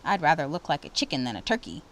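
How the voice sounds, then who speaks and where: Storybook mean girl, young, mocking and snide, with animated pitch; female narrator voices her. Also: fast talker, a little hiss or hum. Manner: taunting, snide.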